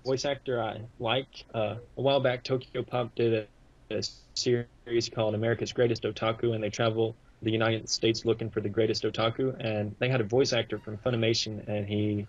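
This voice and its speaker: A man's voice: lowish voice, level tone, fairly quick, slightly rough. A man chatting casually as a podcast guest over a lo-fi voice call. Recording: dull, lo-fi voice call.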